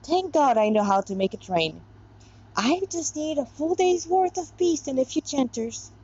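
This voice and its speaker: A woman voicing a devout, weary but determined female android heroine in a story, earnest and deliberate, in lo-fi sound. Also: sits high, animated, darkish tone, voice pushed up. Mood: musing, resolved.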